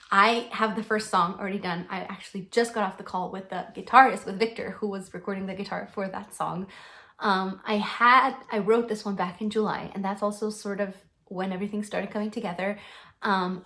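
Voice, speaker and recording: A woman's voice: medium pitch, a bit bright. A young woman with Slovenian as her first language, chatting casually to the camera as a singer-songwriter answering fan questions, bubbly and gushing, with frequent ums and restarts. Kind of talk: casual Q&A vlog.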